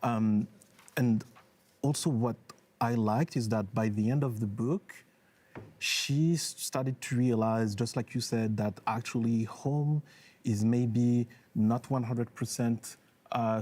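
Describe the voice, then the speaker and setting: A man from France, a debate panelist, speaking thoughtfully with halting restarts. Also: medium pitch, steady tone, mellow. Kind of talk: debate argument.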